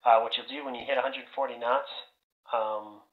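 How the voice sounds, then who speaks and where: A man's voice: mid-range voice, fairly even intonation, moderate pace. A man giving an informal step-by-step procedural briefing as a flight leader over online voice chat. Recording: muffled, roomy voice chat.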